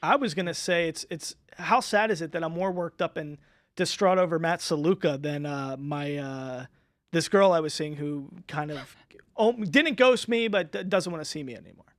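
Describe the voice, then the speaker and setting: A man hosting a sports talk show in a studio, emphatic and fired up, with his voice going hoarse. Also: on the high side, moderate pace, no room sound. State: losing his voice.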